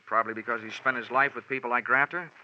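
An American actor playing a tough, wisecracking insurance investigator in a 1940s radio detective drama, with sardonic, clipped delivery. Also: male voice, mid-range voice, steady tone, quick pace, a little hiss or hum, lightly acted. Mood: brisk, dry. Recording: dull vintage 1940s radio recording, faint music.

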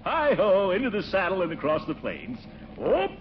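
Pompous eager middle-aged american blowhard, voice swoops all over, muffled noisy old radio comedy. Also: male voice, sits high, huge pitch swings, normal speed. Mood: boastful, cheery. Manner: blustery, exclaiming, hemming. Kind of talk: 1940s radio comedy acting.

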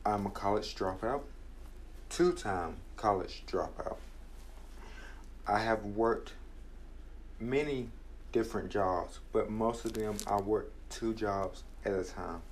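A man's voice: fairly low pitch, a little hiss or hum, rough recording. A young man in his twenties giving an earnest, reflective motivational talk about his own struggles. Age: 20s. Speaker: podcast host.

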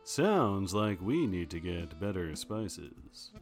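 Slow, reverberant noir detective played by a man. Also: sits low, faint music behind, heavily acted. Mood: dry. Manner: wry, deadpan. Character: wisecracking, deadpan 1940s noir detective, adult man. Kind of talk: 1940s noir radio drama narration.

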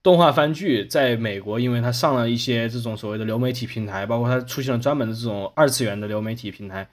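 A man's voice: medium pitch, fast talker. A man co-hosting a podcast, giving casual conversational commentary and often doubling back on his words. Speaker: podcast host.

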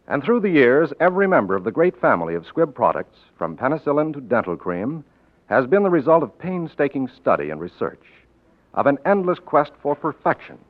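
A tinny, narrow-band old radio recording of a male announcer from the 1940s. His pitch is medium but moves in lively swings. He reads in a formal, earnest, measured manner. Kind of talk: 1940s scripted radio announcing and sponsor message.

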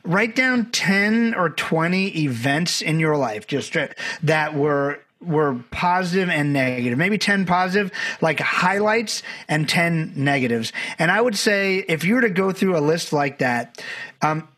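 A man's voice: a little high, animated, measured pace, fairly bright tone. Rambling storyteller man from Barbados. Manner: rambling, self-correcting storyteller. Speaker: podcast guest, documentary filmmaker. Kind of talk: conversational podcast interview.

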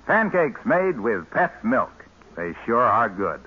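A man's voice: mid-range voice, sing-song, slow, muffled recording, very noisy. An American man announcing an old-time radio show, with a polished salesman's delivery in vintage broadcast style. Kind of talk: 1940s-50s radio announcing and sponsor pitch.

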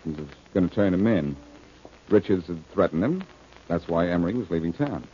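An American actor playing a breezy, wisecracking detective hero in a 1940s radio drama, with a glib, wry delivery. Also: male voice, deep voice, brisk, mellow, natural voice. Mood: relaxed, amused. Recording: dull, noisy vintage radio recording.

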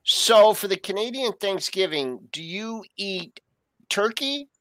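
A man hosting a morning market show, running briskly through price quotes. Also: high voice, no echo. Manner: brisk, lively ticker rundown. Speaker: host.

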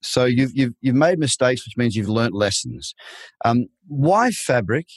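A man's voice: medium pitch, expressive, unhurried, husky, no room sound. A man hosting an interview, asking long encouraging questions in a chatty, rambling way. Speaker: podcast host.

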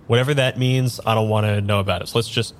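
An actor playing a wistful, apologetic man without his memory in an improvised audio drama, speaking haltingly over music. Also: on the low side, fairly even intonation, slight background noise, natural voice. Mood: nervous, hesitant. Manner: halting, repeats himself, hesitant. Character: uneasy amnesiac man, apologetic and wistful, narrating his search. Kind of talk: improvised audio drama, first-person narration and dialogue.

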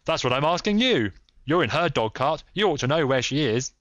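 A man's voice: medium pitch, animated, normal speed, fairly bright tone, dry recording, close to an everyday voice. A man voicing a desperate, threatening but gallant stranger in an adventure storybook, exclaiming urgently. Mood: indignant. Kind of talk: dramatised audiobook character.

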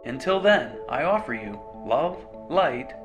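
A man's voice: medium pitch, very animated, unhurried. A man reading a scripted radio advert for his own services. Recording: voice over prominent music bed. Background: music.

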